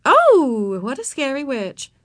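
A woman voicing a mild, absent-minded female schoolteacher with a gentle, distracted manner. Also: sits high, wildly swooping pitch, very slow, husky, voice pushed up, exaggerated voice.

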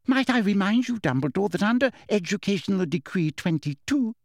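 A man's voice: high-pitched, animated, fairly quick, dry recording, clean studio sound, pitched above the performer's own voice. A man voicing a very old wizard headmaster who speaks pleasantly, courteously and without hurry.

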